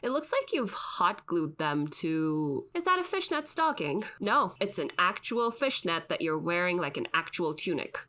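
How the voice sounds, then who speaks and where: Woman as a bright-voiced, wry podcast host. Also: on the low side, big pitch swings, measured pace, hardly any pauses, heavily acted. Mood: wry, skeptical. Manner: wry, skeptical. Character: dry, skeptical podcast host, exasperated straight woman. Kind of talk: scripted comic podcast banter.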